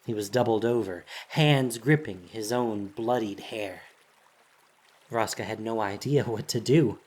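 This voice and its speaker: A man's voice: lowish voice, a little slow, darkish tone, breathy edge. A man reading scripted fantasy narration in a dramatic storytelling style, as the narrator of an audio drama.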